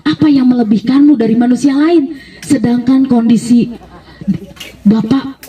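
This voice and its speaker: A polite young man on a journey in a storybook tale, voiced by a woman storyteller. He is curious and a little hesitant, with a respectful way of asking. The tone is mellow and heard from a slight distance. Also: a little high, steady tone, a little slow, close to an everyday voice. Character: curious, polite young male traveller on horseback. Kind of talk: live children's storytelling.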